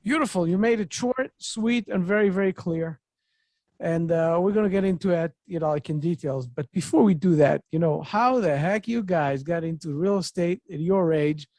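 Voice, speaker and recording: An energetic middle-aged man hosts a podcast interview, his voice sitting fairly high and sounding close and dry into a studio mic. He talks with eager, repetitive phrasing and loose grammar. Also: normal speed, no room sound. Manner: excitable, repetitive, non-native phrasing. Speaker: podcast host. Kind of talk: enthusiastic interview chat. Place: home office with bookshelves. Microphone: studio mic on boom arm.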